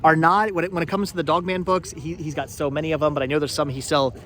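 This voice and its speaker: A middle-aged man hosting a casual thrifting vlog, chatting haltingly and often repeating his words. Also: fairly high pitch, level tone, fairly bright tone. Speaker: vlog host. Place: out and about at flea market.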